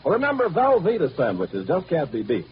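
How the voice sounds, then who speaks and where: Announcer for a 1940s radio ad, American male, persuasive and polished, animated reading, dull old broadcast sound. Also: on the high side, fairly quick. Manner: polished, persuasive sales delivery. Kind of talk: 1940s radio advertisement read. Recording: noisy, muffled 1940s radio recording.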